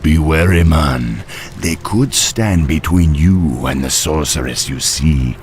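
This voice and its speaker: A deep-voiced troll elder. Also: male voice, slow, a little hiss or hum. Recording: game cutscene with soft score.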